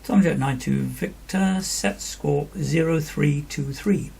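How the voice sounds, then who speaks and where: Slow, very creaky man. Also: on the high side, dark tone. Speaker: virtual air traffic ground controller and narrator. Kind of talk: radio phraseology and explanatory screen-recording commentary.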